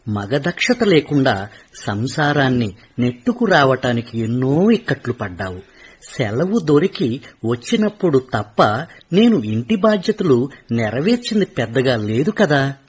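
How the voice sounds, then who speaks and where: A restless retired army havildar. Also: male voice, unhurried, breathy edge, exaggerated voice. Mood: regretful. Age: middle-aged. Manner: earnest, wistful, with animated pitch. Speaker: soldier. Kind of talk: radio play acting.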